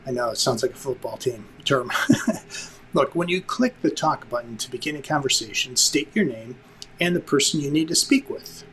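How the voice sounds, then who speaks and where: A man's voice: mid-range voice, expressive, normal speed, a bit bright, low-quality recording. A man presenting an instructional lesson to viewers in a conversational, emphatic way, like a director explaining the basics.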